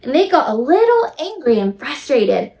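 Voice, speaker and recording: A woman's voice: sits high, sing-song, moderate pace, dark tone, roomy sound. A woman teacher hosting a read-aloud storytime for young children, speaking in a sing-song, exaggeratedly enthusiastic way straight to the kids. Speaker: children's teacher and show host.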